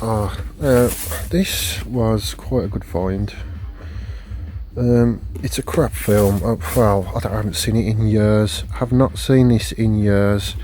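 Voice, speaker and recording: A man's voice: steady tone, slow, warm tone, slight background noise. A man, rambling through a casual haul video with many fillers and restarts, recorded on a phone at home. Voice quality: low voice. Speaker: video collector vlogger. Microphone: phone camera.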